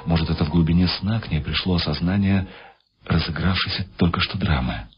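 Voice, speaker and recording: A man's voice: low voice, fairly quick. A man reading scripted literary narration in the manner of a radio drama. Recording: dull lo-fi radio sound. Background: music behind the voice.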